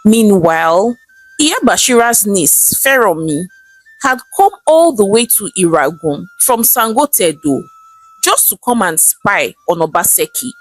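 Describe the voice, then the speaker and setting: A woman with a Nigerian accent narrating a scripted folktale in a dramatic, expressive storytelling manner. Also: mid-range voice, measured pace, dry recording, soft background music, rough recording.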